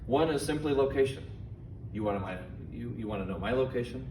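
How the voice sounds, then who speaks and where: A man giving informal safety training instructions in a deliberate, simple, step-by-step manner. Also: mid-range voice, animated, dark tone. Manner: slow, deliberate, simple phrasing. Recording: echoey, distant mic, noisy room.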